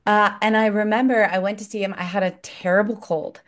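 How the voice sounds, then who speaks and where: An animated woman chatting casually about acting, telling stories with lively quoted voices. Her pitch sits in a middle range, and she talks in an easy, conversational way. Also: fairly quick. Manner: animated storyteller, acts out quotes. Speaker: actor. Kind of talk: casual chat.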